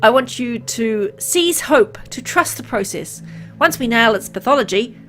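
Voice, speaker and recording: A woman reading aloud as a kind, caring male doctor in a game, speaking gently and earnestly. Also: a little high, expressive, unhurried, soft background music. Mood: reassuring.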